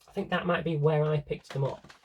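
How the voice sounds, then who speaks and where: A man's voice: fairly high pitch, fairly even intonation, fairly quick, darkish tone, a little hiss or hum. A man giving a casual, rambling show-and-tell to viewers, chatty and enthusiastic, drifting into asides to himself. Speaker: video host showing a personal CD collection.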